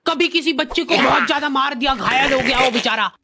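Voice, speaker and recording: A woman's voice: high voice, a little fast, bright, forceful tone. A woman narrating a comic cartoon story in casual slang, with exaggerated mock exasperation. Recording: music behind the voice. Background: faint music.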